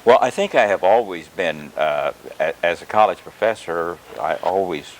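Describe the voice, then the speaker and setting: An older man from Oklahoma, a retired education professor, answering interview questions in a measured, hesitant way with many filler pauses. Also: deep voice, animated, rough recording.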